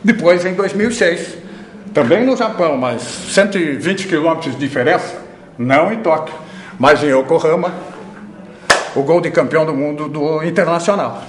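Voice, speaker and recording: A man's voice: a little high, normal speed, roomy sound, background noise. A man in his sixties, a veteran sports radio announcer, recalling his career with emphatic pride. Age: 60s. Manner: proud, emphatic storytelling. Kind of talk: interview recollection.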